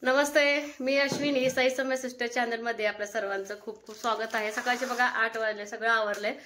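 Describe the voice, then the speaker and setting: A woman's voice: a little high, fairly even intonation, brisk, background noise. A woman with a rural Maharashtrian Marathi accent, chatting casually as she narrates a home cooking vlog. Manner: chatty, conversational. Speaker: family vlog host.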